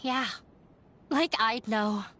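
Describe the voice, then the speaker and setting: A woman voicing a gentle, resigned young female scholar in an anime game, subdued and apologetic. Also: on the high side, extremely slow, slight background noise. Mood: weary, bitter.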